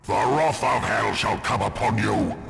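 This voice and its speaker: A man voicing a haughty, menacing demon general in a video game, with a thunderous, gravelly voice and a pompous, threatening delivery. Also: lowish voice, measured pace. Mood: wrathful. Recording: long cavernous reverb, music underneath.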